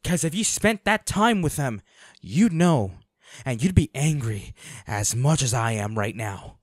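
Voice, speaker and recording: A man's voice: medium pitch, expressive, unhurried, no echo, clean studio sound. A man playing a protective, devoted hunter boyfriend in a dramatic roleplay audio, speaking earnestly and intensely. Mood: angry, menacing.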